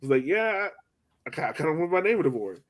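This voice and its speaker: A man's voice: fairly high pitch, big pitch swings, quick pace. A man in casual sports podcast chat, rambling along with lots of "like" and "you know". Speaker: soccer podcast host.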